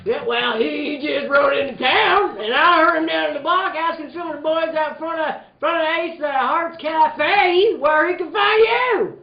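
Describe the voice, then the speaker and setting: A woman playing a rough, nervous outlaw henchman in a radio western, slangy and stammering with bluster. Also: high-pitched, a little slow, hardly any pauses. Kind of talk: 1940s radio western acting. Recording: roomy, dull lo-fi recording.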